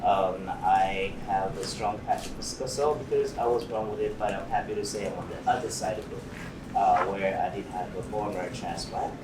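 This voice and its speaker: A man with a fairly low voice speaks as a panelist and patient advocate. He is recorded at a distance in an echoey room, with clear background noise. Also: fairly even intonation, normal speed. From Uganda-born, raised in Seattle. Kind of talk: unscripted panel self-introduction. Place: indoor event venue, panel talk.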